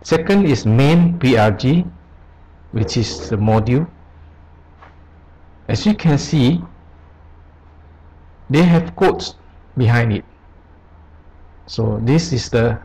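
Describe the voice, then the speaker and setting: Man, software screencast presenter, husky voice, unhurried halting delivery, short step-by-step phrases. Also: medium pitch, poor audio. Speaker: tutorial presenter. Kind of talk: unscripted software screencast tutorial. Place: voice-over on a screen recording.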